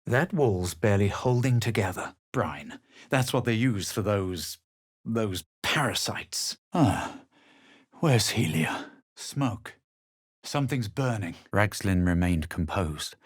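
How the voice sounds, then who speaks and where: A man playing a self-assured, haughty adventurer in a fantasy video game, speaking in a measured, theatrical, superior way. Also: on the low side, animated, breathy edge, no echo, studio quality, full character voice. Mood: self-assured, dry. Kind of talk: fantasy game dialogue and narration.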